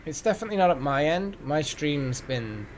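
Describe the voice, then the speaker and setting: Male game streamer, slightly high voice, casual rambling chat, thinking aloud, faint noise behind. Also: expressive, normal speed. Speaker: game livestream host. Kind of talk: casual live gaming chat.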